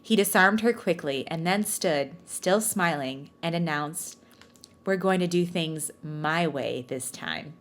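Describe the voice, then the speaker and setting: Woman, slightly low pitch, podcast host, story narration, casual asides, jokey, self-mocking banter. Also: slow. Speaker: podcast host reading fan fiction aloud. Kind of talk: storybook-like read-aloud with casual joking asides.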